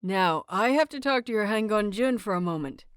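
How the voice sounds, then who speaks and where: A woman's voice: medium pitch, expressive, moderate pace, clear, resonant tone, no echo, clean studio sound, close to an everyday voice. A woman voicing a playful, cheerful and slightly bashful male teacher in an audiobook, with a light, teasing manner. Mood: warm, friendly.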